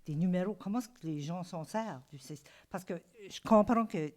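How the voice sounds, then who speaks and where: A woman's voice: fairly low pitch, moderate pace, darkish tone. A woman, asking informal, spontaneous questions at a meeting.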